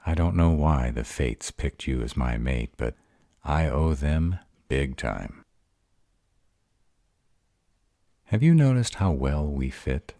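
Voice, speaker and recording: A man voicing a bashful, awkward male were-dragon in love, halting and earnest, in a romantic roleplay audio. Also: extremely low pitch, expressive, dark tone, no echo, clean studio sound, heavily acted. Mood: tender.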